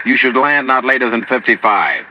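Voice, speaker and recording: An American man playing an earnest, concerned ground controller in a 1950s radio drama, speaking deliberately and haltingly. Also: mid-range voice, big pitch swings, background noise, close to an everyday voice. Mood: grave, concerned. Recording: dull old radio broadcast recording.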